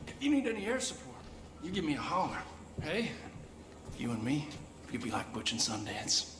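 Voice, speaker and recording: An excitable country pilot in a video game. His voice runs high and swoops about with folksy friendliness, in a very reverberant space with noise behind. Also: normal speed. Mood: upbeat, friendly. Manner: animated, folksy. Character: excitable, friendly small-town pilot and expectant father. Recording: echoey, reverberant, with background noise.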